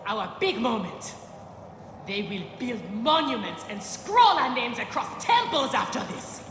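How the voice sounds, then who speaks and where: Glory-hungry, animated gladiator. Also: female voice, a little high, a little slow, echoey room, long reverb tail, a little hiss or hum. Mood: exultant. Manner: exultant, dramatic. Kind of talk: video game cutscene acting.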